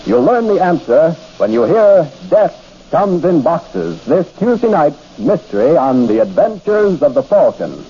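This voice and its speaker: Man as old-time network announcer: animated, mellow, formal and dramatic, muffled vintage radio sound. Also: a little high, measured pace, husky. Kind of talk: 1940s radio announcing, scripted. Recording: dull old radio broadcast.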